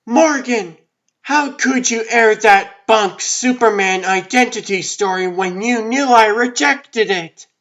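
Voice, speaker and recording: A man's voice: high voice, takes it slowly, fairly bright tone, highs cut off, full character voice. A man voicing an assertive, indignant female news reporter in a comic dub, insistent and confrontational.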